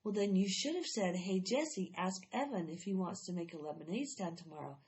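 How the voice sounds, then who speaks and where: A woman's voice: fairly low pitch, fairly quick, a little mellow, close to an everyday voice. Woman voicing a stubborn young schoolgirl, slightly dull audio. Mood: insistent.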